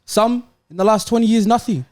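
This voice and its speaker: High-pitched man, plaintive podcast monologue. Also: brisk, darkish tone, no echo, studio quality. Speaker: podcast co-host. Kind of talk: comic mock-sad monologue to friends.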